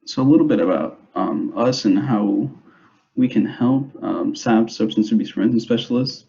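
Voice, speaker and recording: A young man who grew up in the United States, giving an informal presentation to parents in a hesitant, halting way, on a dull, muffled recording. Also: lowish voice, vocal fry, warm tone. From grew up in the United States, born in El Salvador. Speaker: school substance abuse prevention specialist.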